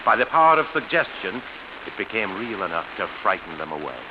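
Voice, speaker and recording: An actor playing an embittered, falsely genial schemer with quiet menace, savoring his words in 1940s radio suspense style. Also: fairly low pitch, big pitch swings, a little fast. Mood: slyly confiding. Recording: muffled, hissy vintage radio recording.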